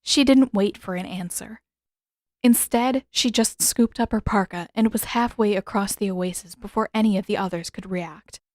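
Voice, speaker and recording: A woman's voice: medium pitch, moderate pace, darkish tone, no echo. Woman narrating fiction, very dry sound. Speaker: fiction reader and author. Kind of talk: expressive scripted story narration.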